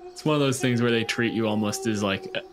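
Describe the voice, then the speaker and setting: A man's voice: mid-range voice, fast, music in the background. A man casually hosting and narrating a tabletop game as game master, irreverent and free with swearing.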